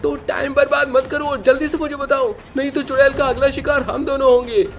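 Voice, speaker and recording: A man's voice: extremely high pitch, level tone, brisk, soft background music, pitched above the performer's own voice. A man voicing a frightened but determined young male hero in a cartoon horror story, speaking urgently. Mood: alarmed.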